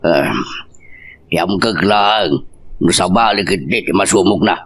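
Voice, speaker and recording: A man's voice: sits low, takes it slowly, fairly bright tone, exaggerated voice. A man playing an aging, judgmental father-in-law in a family audio drama, with a grumbling, emphatic delivery. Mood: concerned, disapproving. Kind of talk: Ilocano radio-style family drama acting.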